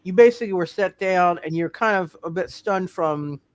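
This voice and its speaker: A man narrating a tabletop game as game master, improvising in a halting way and repeating himself. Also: sits high, clean tone, no room sound.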